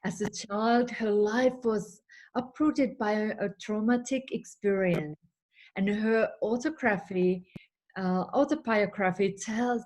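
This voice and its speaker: A woman hosting an interview show, speaking in a gentle, encouraging way. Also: medium pitch, low-quality recording. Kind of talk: scripted show intro and interview.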